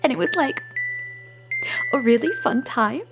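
A woman's voice: high voice, unhurried, over loud music, poor audio. A woman voicing a shallow rich young female wizard student in a comedy dating ad, giggly and ditzy, full of filler words. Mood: tearful. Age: young adult. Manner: giggly, ditzy, filler-heavy valley-girl talk. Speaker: caller recording a dating ad.

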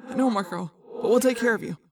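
A woman's voice: a little high, a little fast, dry recording, studio quality, distant mic, lightly acted. A woman voicing a commanding teenage boy leader in an audiobook, speaking in a terse, urgent and firm way. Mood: firm, reassuring.

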